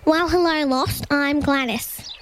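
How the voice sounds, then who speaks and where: A woman's voice: sits high, fairly even intonation, slow pace, very noisy, voice pushed up. A woman voicing a decisive, blustering female emu with an Australian accent in a children's story. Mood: brisk, decisive. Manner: determined, disapproving, blunt.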